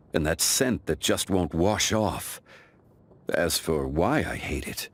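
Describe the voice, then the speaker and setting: A man playing an ancient, calm, dignified gentleman in a video game, speaking in a formal, measured, reflective way. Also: deep voice, exaggerated voice. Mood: dry distaste. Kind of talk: scripted video game character voice lines.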